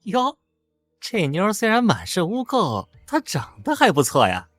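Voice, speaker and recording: Sly male crook, husky, gloating, swooping pitch, costume animation villain. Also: huge pitch swings, unhurried, no echo, soft background music. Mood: leering. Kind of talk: dubbed animated costume drama.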